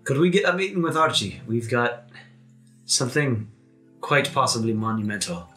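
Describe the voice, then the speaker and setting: A man plays a tabletop role-playing game in a casual way. His voice is bright and average in pitch, recorded in an echoey space over soft music. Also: animated, moderate pace. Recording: echoey room, music behind.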